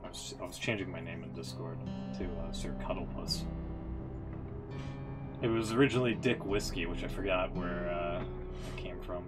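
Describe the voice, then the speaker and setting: A man streaming casual reaction commentary, talking in an informal, halting, sweary way. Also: low-pitched, loud music behind the voice. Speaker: game streamer.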